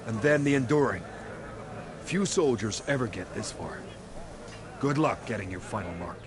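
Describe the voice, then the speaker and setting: Actor as a hearty, animated pit-fight trainer. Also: male voice, fairly low pitch, moderate pace, a crowd in the background, close to an everyday voice. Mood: encouraging. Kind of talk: video game dialogue.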